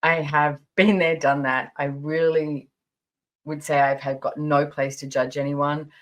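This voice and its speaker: A woman's voice: sits low, level tone, unhurried. A woman in her fifties hosting a podcast, telling personal stories casually in a chatty, emphatic way, repeating phrases for stress. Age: 50s. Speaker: podcast host. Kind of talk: casual personal storytelling monologue.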